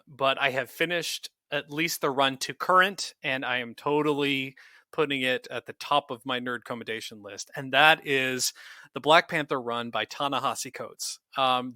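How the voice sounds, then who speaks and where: A man's voice: mid-range voice, pushed and bright, no echo, studio quality. A man co-hosting a podcast in casual, enthusiastic review chat, speaking in an earnest, effusive way. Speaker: podcast co-host.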